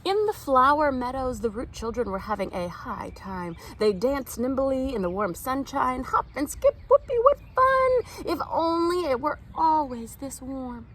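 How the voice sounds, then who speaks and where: A woman reading a children's picture book aloud in an expressive, animated storytime style. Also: high voice, a little slow, few pauses, a little hiss or hum, low-quality recording. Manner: animated, acts out the characters' lines. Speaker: storytime reader.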